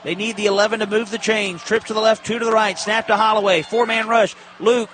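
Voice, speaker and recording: A man's voice: sits high, little pitch movement, brisk, noisy background. A man calling college football on the radio as a play-by-play announcer, in short, clipped present-tense bursts.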